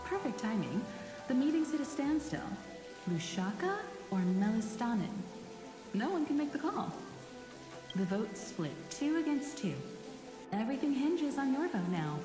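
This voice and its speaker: A woman's voice: sits high, sing-song, moderate pace. A woman playing an eager, welcoming young crewmate in a video game, chirpy and urging. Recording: echoey reverb, music behind.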